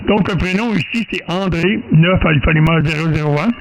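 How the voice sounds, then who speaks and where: High-pitched, creaky Quebec man. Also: brisk, low-quality recording. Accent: Quebec French. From Quebec, Canada. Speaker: amateur radio operator. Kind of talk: casual radio contact chat.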